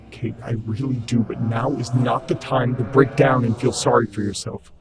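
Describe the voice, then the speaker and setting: An actor playing a mysterious male entity in an audio drama, stern but caring, speaking with earnest, commanding emphasis and a gravelly voice. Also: mid-range voice, noisy background, close to an everyday voice. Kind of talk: audio fiction drama acting.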